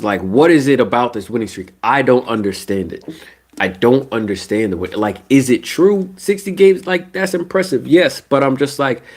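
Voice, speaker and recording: A young man with a stuffy, nasal voice from a cold, ranting casually about basketball on a live stream. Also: mid-range voice. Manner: animated ranting, casual slang. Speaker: sports streamer.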